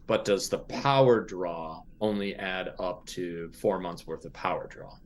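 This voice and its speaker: A man playing a tabletop role-playing game in casual improvised speech, haltingly mulling things over aloud. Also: sits low, a little hiss or hum.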